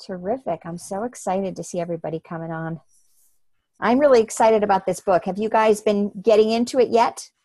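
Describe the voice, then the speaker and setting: An upbeat, welcoming woman from the New Jersey shore hosts a book study over a video call, chatty and quick to laugh. Her voice sits at an everyday middle pitch. The sound is close and dry, with no room around it. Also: mellow, no room sound. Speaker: host leading an online book study. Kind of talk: casual group video call.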